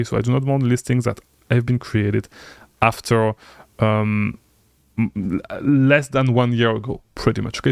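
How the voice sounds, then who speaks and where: Man, first language french, gravelly voice, dry close mic, screen-share tutorial, keeps asking okay?. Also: mid-range voice, no room sound. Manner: repetitive, lots of "okay?" tags, energetic and insistent. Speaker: online seller coach.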